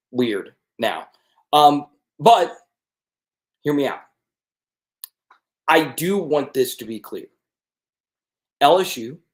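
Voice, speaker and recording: A man hosting a college football live stream, talking straight to viewers and often checking in with "okay?". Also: medium pitch. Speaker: sports channel host. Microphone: desk mic with changed settings.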